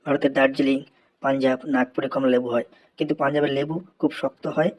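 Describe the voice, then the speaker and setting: A man's voice: mid-range voice, little pitch movement, fast talker, lightly acted, rough recording. A man voicing a cheeky, long-winded schoolboy in a comedy cartoon, reciting earnestly and respectfully. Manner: earnest classroom recitation, deferential, rambling.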